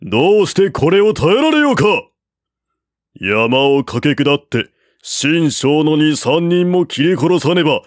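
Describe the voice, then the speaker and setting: A man voicing a furious, hot-headed male general who shouts in rage, in a storybook reading. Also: on the high side, big pitch swings, unhurried, no room sound, clean studio sound, voice pushed up. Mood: enraged.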